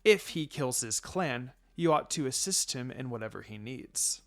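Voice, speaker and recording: A man voicing a sinister old village elder in a fan fiction story, measured and coldly threatening. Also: medium pitch, lively intonation, lightly acted. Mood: menacing. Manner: measured, menacing.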